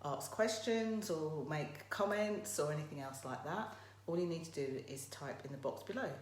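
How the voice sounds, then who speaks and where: A woman with a croaky voice hosting a casual live motivational talk, chatting directly to her viewers. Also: low voice. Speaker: motivational livestream host. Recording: roomy echo, faint background noise.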